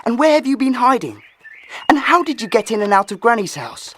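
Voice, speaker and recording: A woman's voice: fairly high pitch, big pitch swings, brisk, fairly bright tone. A woman playing an affectionately scolding teenage older brother in an audiobook dramatization. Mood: teasing, scolding.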